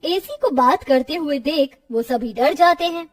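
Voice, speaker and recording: A woman's voice: sits high, expressive, unhurried, no echo, studio quality, distant mic. A woman narrator reading a scripted cartoon story.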